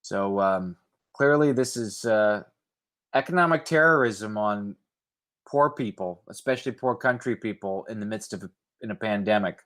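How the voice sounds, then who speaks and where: A man reading news stories aloud in a measured way, with informal commentary. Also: on the low side, fairly bright tone, no echo.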